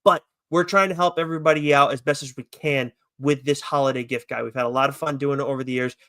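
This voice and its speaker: A man's voice: medium pitch, steady tone, fast, bright, forceful tone, no echo, studio quality. A man co-hosting a podcast, chatting casually about comics in a rambling, enthusiastic, loosely profane way. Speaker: podcast co-host reviewing comics. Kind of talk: casual chat review.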